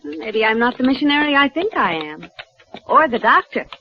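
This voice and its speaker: A woman's voice: a little high, big pitch swings, moderate pace. A woman playing a determined, dryly self-doubting doctor in a 1950s western radio drama. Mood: wry, candid. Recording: muffled, noisy vintage radio recording.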